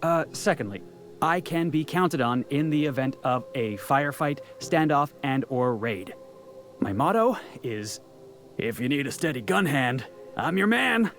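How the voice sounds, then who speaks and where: An eager young American drifter from a video game, cocky and earnest. His delivery is very animated, with bravado that often trips over itself. Music plays behind him. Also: on the high side, unhurried. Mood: eager, nervous. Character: eager, cocky young male drifter seeking crew work. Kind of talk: video game character acting.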